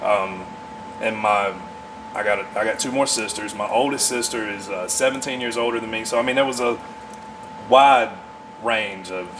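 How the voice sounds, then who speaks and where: A man in his forties talking casually as a podcast guest, in an earnest, halting way as he thinks aloud. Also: lowish voice, background noise. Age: 40s. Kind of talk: casual conversation.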